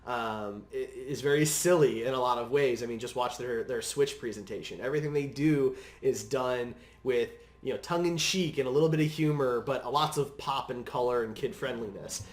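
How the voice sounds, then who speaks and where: A man's voice: mid-range voice, normal speed, hardly any pauses. A man co-hosting a podcast, casually explaining his opinions on movies in an opinionated way. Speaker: podcast co-host. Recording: echoey room.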